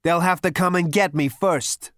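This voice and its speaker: A man voicing a cocky, indignant, excitable man in a brash, exclaiming way, in an audiobook. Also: sits high, fairly quick, no room sound, clean studio sound, voice pushed up, full character voice. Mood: angry, defiant. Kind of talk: audiobook character voice.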